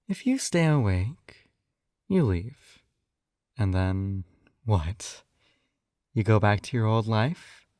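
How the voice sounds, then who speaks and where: Casting: male actor as a smugly tender hypnotist captor for ASMR audio drama; velvety, mellow, unhurried, teasing, recorded close and dry. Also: sits low, dry recording, full character voice. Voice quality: soft, velvety, breathy whispers at times. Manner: slow coaxing, teasing tag questions.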